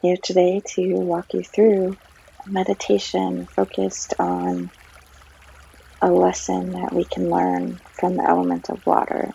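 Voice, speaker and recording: Slow, very creaky woman guiding meditation. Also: lowish voice, very animated. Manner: gentle, unhurried, long pauses between phrases. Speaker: yoga and meditation guide. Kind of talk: guided meditation, soothing narration. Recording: slightly dull sound, trickling stream water behind. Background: flowing stream water.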